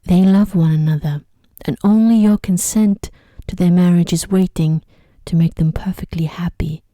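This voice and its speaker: Asmr lady as a kindly stately fairy from a storybook, soft gracious formal, low mellow voice. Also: fairly even intonation, moderate pace, no room sound, clean studio sound, lightly acted.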